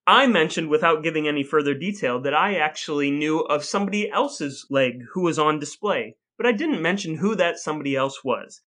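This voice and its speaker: A man's voice: on the high side, lively intonation, fairly quick, hardly any pauses, bright, forceful tone. An American man co-hosting a podcast with wry, mock-formal, wordy phrasing, moving between scripted reading and chat. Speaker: podcast co-host.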